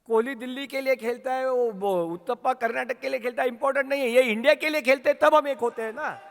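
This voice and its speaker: Rousing Indian man with very high pitch. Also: quick pace, creaky. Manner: rousing, asks the crowd questions, builds to shouts. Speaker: company leader addressing a sales team. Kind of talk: motivational speech. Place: large hall at a training event. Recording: long hall reverb.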